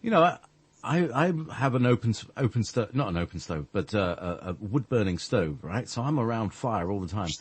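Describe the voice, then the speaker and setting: A man's voice: fairly low pitch, animated, a little fast, breathy edge, dry recording. A man guest on a radio talk show, chatting in a rambling, hesitant way with many restarts. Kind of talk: rambling conversational chat.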